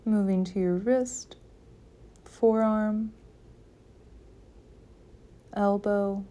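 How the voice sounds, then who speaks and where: A woman leading a guided meditation, giving calm, gentle, soothing instructions with long pauses between phrases. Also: medium pitch. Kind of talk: guided yoga nidra meditation.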